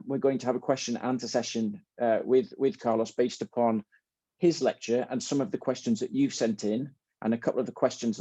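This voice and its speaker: A man's voice: mid-range voice, mellow, no echo. A man hosting a student symposium on a video call, informal and a little hesitant, often rephrasing as they go. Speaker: host and moderator of a student symposium. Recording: dull, dry video-call audio.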